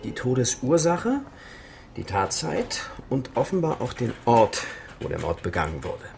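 An actor playing a matter-of-fact police detective who reports methodically in a radio crime drama. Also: male voice, mid-range voice, very animated, a little slow, vocal fry, darkish tone, slight background noise. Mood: sober. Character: matter-of-fact male detective reporting evidence to his chief.

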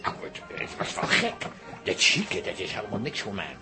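An actor playing an awkward, plain-living man in a Dutch radio comedy, halting and stammering. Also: fairly low pitch, wildly swooping pitch, over music. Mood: sheepish, amused.

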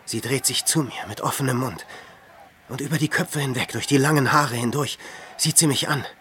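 An actor playing a tormented, self-pitying ascetic hermit in a radio drama, anguished and swinging between whispers and cries. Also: male voice, medium pitch, moderate pace, pushed and bright, a little hiss or hum, lightly acted. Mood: haunted, rapt.

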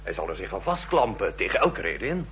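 A man's voice: low voice, very animated, a little fast, gravelly, a bit bright, heavily acted. A man acting in a Dutch radio play as a wry, hedging chief medical officer. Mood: hesitant. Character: aggrieved, hesitant chief medical officer serving a regime. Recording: noisy, humming old radio recording.

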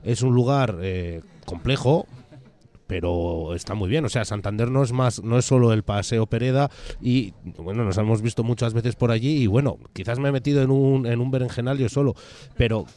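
A man's voice: mid-range voice, normal speed, warm tone. A man with an accent from Spain interviewing on the radio in a casual, rambling way.

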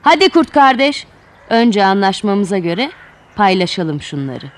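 A woman's voice: mid-range voice, very animated, measured pace, pitched below the performer's own voice. A woman voicing a cunning, boastful fox trickster in a children's story, sly, condescending and persuasive. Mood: calling out.

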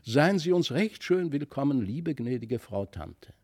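A man's voice: medium pitch, big pitch swings, unhurried, a little mellow, no room sound, studio quality. Man voicing impulsive young country children. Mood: dutiful greeting. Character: eager, bold young country boy and his sister.

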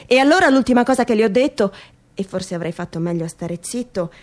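A woman's voice: on the high side, animated, fast, a little mellow, lightly acted. A woman performing a theatrical monologue as a world-weary old male sheriff, reflective and plain-spoken. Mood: troubled, musing.

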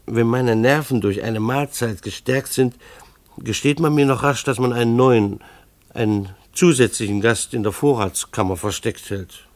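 An actor playing a harried, ironic husband and father, narrating his family's chaos in a deliberate, formal radio drama style. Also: on the low side, no echo.

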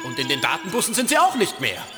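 An actor playing an excitable, anxious antivirus program fighter in a children's audio drama. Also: male voice, sits high, fast talker, big-room sound. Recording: music loud behind.

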